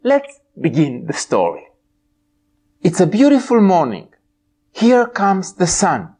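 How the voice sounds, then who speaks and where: A man in his forties from Romania reading a children's storybook aloud as an expressive host, drawing out his words. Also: sits high, slow pace. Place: small room indoors.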